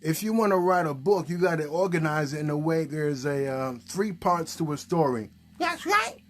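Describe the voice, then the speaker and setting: A man presenting a children's puppet show, playfully coaxing with questions and hesitant pauses. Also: on the high side.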